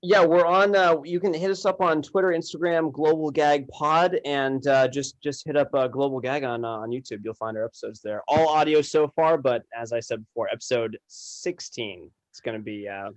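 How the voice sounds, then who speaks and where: A man chatting casually as a podcast guest, often repeating words in a halting way. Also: mid-range voice, dry recording. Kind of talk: casual chat.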